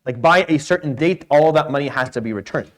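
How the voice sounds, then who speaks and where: A man's voice: on the high side, fast. A man from Syria giving an informal lecture on Islamic law, blunt and emphatic, mixing Arabic terms into his English. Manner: blunt, emphatic, explains with role-played questions and answers.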